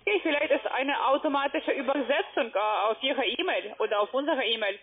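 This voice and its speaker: A woman's voice: high-pitched, fairly quick, husky. A woman working as a call-center agent on a sales call, speaking broken German with non-native phrasing, insistent and reassuring, often repeating that she agrees. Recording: tinny phone line.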